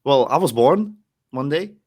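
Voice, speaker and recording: A man's voice: medium pitch, lively intonation, unhurried, a little mellow, breathy edge. Young Czech-accented man, casual guest, loose rambling delivery, self-mocking. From Czech Republic. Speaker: guest wrestler. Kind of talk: casual podcast chat.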